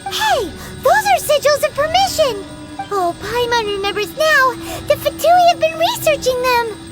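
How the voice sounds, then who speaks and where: Game fairy sidekick: extremely high, childlike, very animated, easily indignant, music underneath. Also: female voice, unhurried, full character voice. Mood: excited. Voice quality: squeaky, childlike. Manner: exclamatory, blurting, refers to self by name. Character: tiny excitable floating fairy companion, girlish, indignant. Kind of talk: animated video game character acting.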